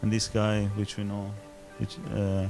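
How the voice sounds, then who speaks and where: A man speaking English with an Italian accent, a chef who talks haltingly and reaches for his words. His voice sits low, with music playing clearly in the room behind him. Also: monotone. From southern Italy. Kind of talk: casual interview.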